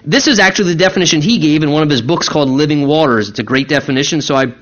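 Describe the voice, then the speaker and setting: A man's voice: fairly high pitch, racing, a bit bright. A male pastor from the United States preaching a teaching sermon, asking his congregation questions as he explains scripture. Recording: muffled lo-fi sermon recording.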